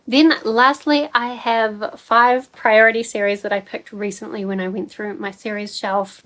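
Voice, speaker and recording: A woman's voice: medium pitch, fairly bright tone, poor audio. A young woman with a New Zealand accent, chatting casually about books in a rambling, filler-heavy way. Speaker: book vlogger. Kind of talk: casual book chat.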